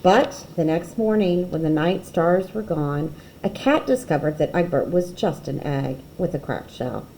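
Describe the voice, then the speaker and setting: A woman reading a children's picture book aloud expressively, with dramatic pauses and emphasis. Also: low-pitched, animated, mellow, a little hiss or hum, low-quality recording.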